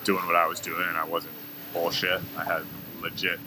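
A man in his forties from Canada tells a travel story in an easygoing, animated way. His voice sits very low and relaxed. It's recorded outdoors on a small clip-on mic with clear background noise. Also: normal speed. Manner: relaxed, chatty, animated storyteller with lively asides. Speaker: adventure vlogger. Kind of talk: casual travel vlog storytelling. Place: outdoor seaside cafe and on the water in a kayak.

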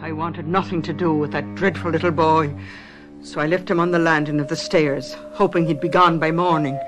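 A woman's voice: low voice, steady tone, moderate pace, over music, natural voice. An actress playing a devoted, plain-spoken housekeeper telling her story earnestly in a radio drama. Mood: reflective. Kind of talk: radio drama acting and narration.

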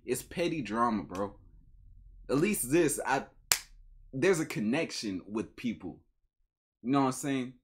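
A man's voice: medium pitch, animated, unhurried, long pauses, husky. A young American man casually reacting in slangy, repetitive commentary, chuckling as he goes. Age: young adult. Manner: slangy, repeats himself, chuckling. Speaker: reaction video host. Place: small room at home, indoors.

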